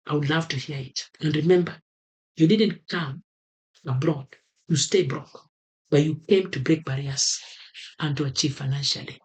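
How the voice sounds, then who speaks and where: A man's voice: on the high side, normal speed, long pauses, rough recording. A man from Kenya with a Kenyan accent, talking earnestly to camera and repeating his key advice for emphasis. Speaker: vlogger. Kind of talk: motivational talk to camera.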